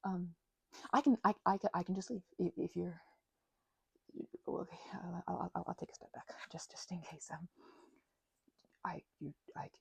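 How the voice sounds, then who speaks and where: A shy, apologetic vampire woman played by a voice actress speaks in a low, mellow voice with a creaky edge. She stammers and trips over her words in a nervous, grateful audio roleplay, recorded close and dry. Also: steady tone, no room sound, full character voice. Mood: sheepish, embarrassed. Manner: stammering, halting, nervous laughter, rambling apologies. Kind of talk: audio roleplay addressed to the listener.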